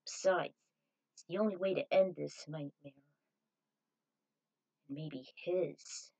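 Woman reading as grave, lowered-voice male robot. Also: slow, creaky, a little mellow, full character voice.

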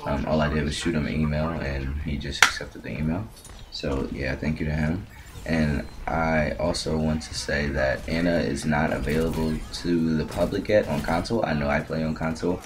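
Very deep-voiced man, casual gaming commentary, noisy. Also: steady tone, normal speed. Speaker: gaming video host. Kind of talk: casual video game commentary. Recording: clearly noisy background.

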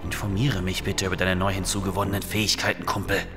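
An actor playing a cool, casual space commander in a retro sci-fi radio drama, talking in a wry, chummy way. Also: male voice, low-pitched, flat delivery, fast, over music. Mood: calm, focused.

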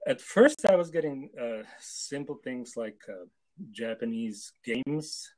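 A man's voice: mid-range voice, lively intonation, a little mellow, poor audio. Older man, hesitant video-call interview answers. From Czechoslovakia. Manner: hesitant, with many pauses and fillers. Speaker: guest translator. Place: home room with photos on wall.

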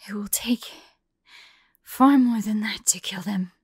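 Woman acting a slow, halting young fantasy heroine. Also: medium pitch, a little mellow, slight background noise, natural voice. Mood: pained, tense. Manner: breathy, halting, often drops into whispers. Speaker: audio roleplay voice actor. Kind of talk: intimate acted audio roleplay.

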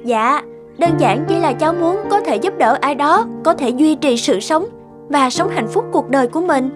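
A gentle, selfless young cartoon girl with a very high voice. She explains things earnestly and politely, over clearly audible music. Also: expressive, normal speed. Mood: earnest, serene. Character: gentle, selfless, terminally ill young woman. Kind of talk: sentimental cartoon dialogue. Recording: music behind the voice.

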